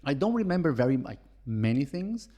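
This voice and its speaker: A man's voice: mid-range voice, big pitch swings, a little fast, mellow. A man from Brazil, a podcast guest, chatting casually and rambling with lots of restarts. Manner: rambling, many restarts and fillers. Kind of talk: casual chat.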